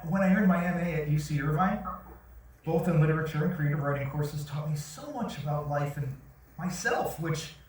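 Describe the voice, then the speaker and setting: A man's voice: on the high side, normal speed, a little mellow, lightly acted. A man playing an earnest, defensive fiction writer in a staged play reading, argumentative and theatrical. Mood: eager. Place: indoor theatre stage, far from the camera. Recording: echoey theatre, distant camera, faint noise.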